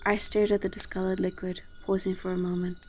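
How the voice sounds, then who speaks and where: A woman's voice: fairly low pitch, little pitch movement, a little fast, darkish tone, soft background music. A woman reading a scripted first-person horror story in a sombre, controlled way.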